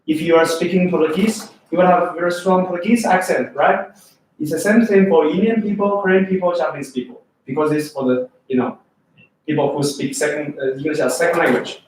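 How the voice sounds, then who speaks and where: A man's voice: a little high, brisk, a little hiss or hum. A man from China with a Chinese accent, teaching an English test class and often checking in with students. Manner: lively, asks students questions often. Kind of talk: classroom lecture. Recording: echoey room from far off.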